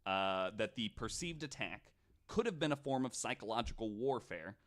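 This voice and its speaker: A man with a very bright, punchy voice hosts a casual podcast. He tells his story in an animated, comedic way, exclaiming as he chats with his co-hosts. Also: fairly low pitch, moderate pace.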